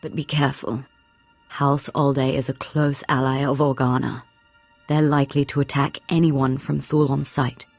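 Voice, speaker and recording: A woman's voice: extremely low pitch, level tone, moderate pace, a little mellow, no room sound, faint music behind. A woman playing a poised young noblewoman who leads a house in a video game, speaking in a stately, formal way. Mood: businesslike. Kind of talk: scripted video game dialogue.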